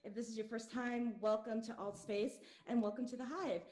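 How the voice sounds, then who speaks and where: A woman's voice: on the high side, steady tone, moderate pace, darkish tone. Teasing woman host, roomy sound. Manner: teasing, giggly. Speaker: talk show host. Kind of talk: casual live talk show chat. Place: virtual reality social space.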